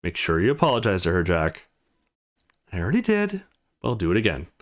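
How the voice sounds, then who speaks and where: A man's voice: low voice, huge pitch swings, a little fast. A man reading visual novel dialogue aloud as a let's play host, voicing the characters' lines as he goes. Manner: voices the characters' lines as he reads.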